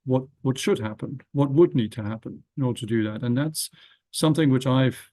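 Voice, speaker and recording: A man speaking on a panel in a thoughtful, halting way, often restarting his sentences. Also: medium pitch, warm tone, dry recording. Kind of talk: reflective panel talk.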